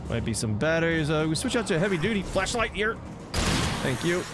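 A man's voice: on the high side, very animated, fairly quick. A man giving casual, slangy, reactive commentary while playing a video game. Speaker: gameplay commentator. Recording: loud game music and sound behind. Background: game music and action sounds.

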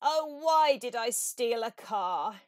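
A woman voicing a boastful, reckless gentleman toad from a children's storybook, exclaiming theatrically. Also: a little high, expressive, slow, no echo, clean studio sound. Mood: remorseful.

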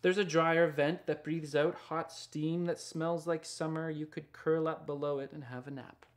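A man in his thirties reading a picture book aloud in a gentle, unhurried and sometimes hushed way. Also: a little high, little pitch movement. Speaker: children's picture book author and illustrator. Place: small indoor studio lined with books and records.

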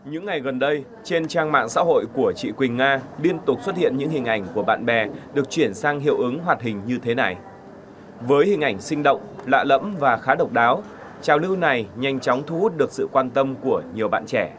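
A man's voice: mid-range voice, expressive, fairly quick, a bit bright, slight background noise. A man narrating a news report from a script. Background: faint music.

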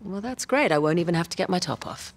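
An actress playing a sly, confident treasure hunter in a video game, with a dry, teasing manner. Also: female voice, lowish voice, lively intonation, fast. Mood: wry, teasing. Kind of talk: cinematic video game acting.

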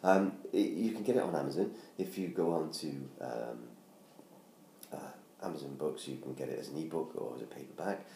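Hoarse, very deep middle-aged man. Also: normal speed, dark tone. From United Kingdom. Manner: chatty, self-deprecating, many ums and restarts. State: hoarse from laryngitis. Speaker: author promoting his book. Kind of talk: casual self-made promo video. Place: living room at home by a Christmas tree. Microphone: tablet camera at close range. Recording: roomy home sound with faint noise.

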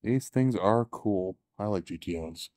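A man's voice: on the low side, a little slow, darkish tone, clean studio sound. A man giving an enthusiastic, offhand walking tour of cars in a large indoor exhibition hall, correcting himself as he goes. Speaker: show tour guide and author. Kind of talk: casual walking tour commentary.

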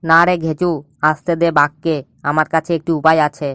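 A man voicing a sly, bossy jackal egg thief who talks smoothly and schemes in an animated cartoon. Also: fairly high pitch, normal speed, no echo, clean studio sound. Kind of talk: cartoon voice acting.

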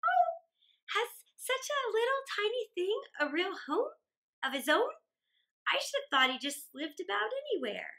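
A woman's voice: very high-pitched, big pitch swings, moderate pace, vocal fry, pushed and bright, pitched above the performer's own voice, heavily acted. A woman voicing a curious, tender-hearted little girl in a storybook, wondering and earnest. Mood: amazed. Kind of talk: storybook character voice.

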